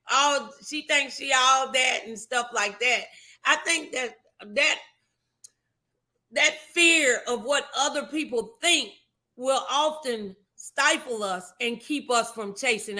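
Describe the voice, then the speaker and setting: A woman's voice: on the high side, sharp tone. A woman hosting a motivational talk show, speaking in an encouraging, emphatic, preachy way.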